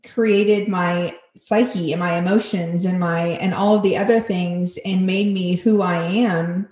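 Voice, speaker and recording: A woman's voice: fairly low pitch, steady tone, measured pace, darkish tone, roomy sound. A woman hosting a podcast interview in a casual, chatty way, with lots of fillers and laughter. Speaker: podcast host.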